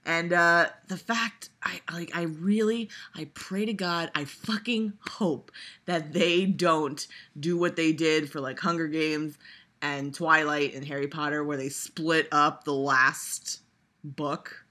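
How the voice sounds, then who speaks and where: A woman's voice: low-pitched, steady tone, slow, bright, forceful tone. A woman vlogger giving a casual, exasperated, profane rant, full of fillers and restarts. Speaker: vlogger reviewing a trailer. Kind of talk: casual ranting reaction.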